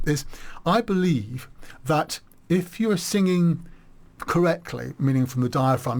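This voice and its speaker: A man hosting a live radio show from the studio, with a mid-pitched voice. He talks in a chatty, animated way, trips over and restarts his words, and keeps the conversation bubbling along. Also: gravelly. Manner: chatty, animated, warm enthusiasm with frequent restarts. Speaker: radio host.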